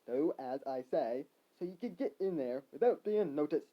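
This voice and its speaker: Give a narrator's take on a bossy disembodied male spirit in a fantasy story. It speaks slowly and with urgent command, slightly lowered, on a dull recording. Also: expressive, close to an everyday voice.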